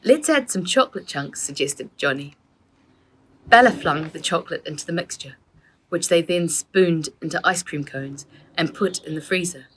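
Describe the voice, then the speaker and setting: A woman, an author, reading her own children's book aloud in an expressive storytelling way. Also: low-pitched, moderate pace, slight background noise, low-quality recording.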